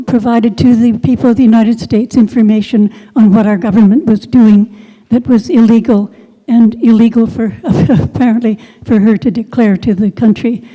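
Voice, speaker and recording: An elderly woman makes halting remarks at a public meeting, often searching for words. Her voice is fairly high and very soft-edged, and it rings in a large reverberant hall. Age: 70s. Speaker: audience member at a community meeting. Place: large synagogue hall, indoors. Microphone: handheld microphone. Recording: reverberant hall PA, muffled top end.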